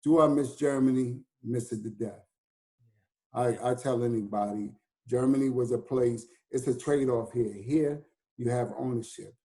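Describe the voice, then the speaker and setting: Mellow-voiced American man in his fifties. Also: medium pitch, normal speed. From United States. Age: 50s. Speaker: DJ and hip house artist. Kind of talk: casual conversation.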